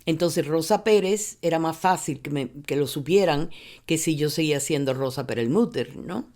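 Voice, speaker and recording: A woman's voice: low-pitched, normal speed. An older woman from Cuba, telling her life story in an interview, often restarting words and slipping in English phrases. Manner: animated when retelling, many restarts, mixes in English phrases. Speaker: interviewee, university professor. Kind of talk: reflective personal storytelling.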